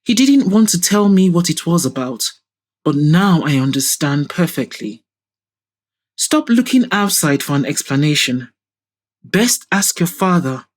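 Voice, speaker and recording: A woman's voice: low voice, lively intonation, a little slow. A woman playing an arrogant middle-aged businessman in an audio drama, pompous, mocking and self-righteous. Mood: scornful, indignant. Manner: pompous, scornful.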